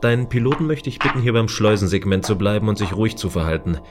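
Man doing a strict space station guard, lowish voice, dry and formal, bossy, sci-fi radio play w faint music. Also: expressive, fast talker, close to an everyday voice. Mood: controlled, authoritative. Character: stern, controlled male guardian of a space station. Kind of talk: science-fiction audio drama acting.

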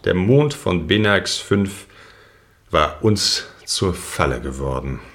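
A man playing a brooding sect leader in a science fiction audio drama, speaking with dramatic, measured delivery. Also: low-pitched, expressive, fairly bright tone, exaggerated voice. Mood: haunted, awed. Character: brooding male sect leader in space, recounting his downfall.

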